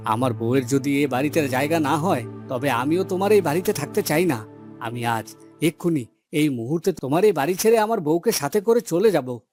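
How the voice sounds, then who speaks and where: A man voicing an earnest, resolute young son of a village headman, in melodramatic cartoon style with deliberate delivery. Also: fairly high pitch, animated, darkish tone, lightly acted. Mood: defiant. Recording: background music under the voice.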